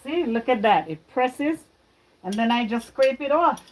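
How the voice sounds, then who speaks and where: A woman's voice: on the high side, expressive, measured pace, darkish tone, slight background noise, rough recording. A woman born in Jamaica, chatting to her livestream viewers as she cooks in her home kitchen. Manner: chatty, talks to viewers while cooking. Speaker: livestream cooking host. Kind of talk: casual cooking livestream. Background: frying and stirring sounds.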